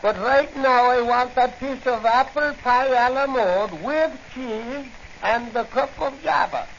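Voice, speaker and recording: An American man playing a hearty, wisecracking policeman sidekick with a gravelly voice in a 1940s radio drama. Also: very high-pitched, measured pace. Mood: gruff, plucky. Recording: noisy, dull 1940s radio recording.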